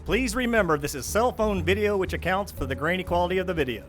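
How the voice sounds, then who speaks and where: Male TV anchor, high voice, rapid formal breaking-news delivery. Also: animated, a bit bright, faint music behind. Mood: formal, urgent. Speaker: newsreader. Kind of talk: broadcast news delivery in audio drama.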